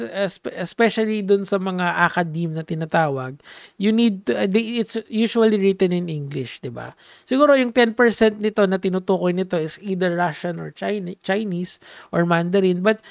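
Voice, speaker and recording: A man's voice: sits high, creaky, dry recording, studio quality. A young Filipino man with a Filipino accent giving playful, casual commentary, switching between Tagalog and English with many fillers and restarts. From Philippines. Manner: playful, code-switching, frequent fillers and restarts, shouts "Hey!". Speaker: commentary vlogger.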